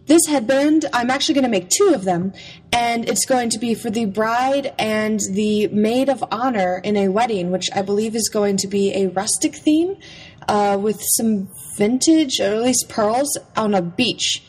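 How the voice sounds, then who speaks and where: A woman's voice: a little high, unhurried, a little mellow, slightly rough, low-quality recording. A young adult woman giving a casual, chatty, playful voice-over about a craft process. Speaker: craft vlogger.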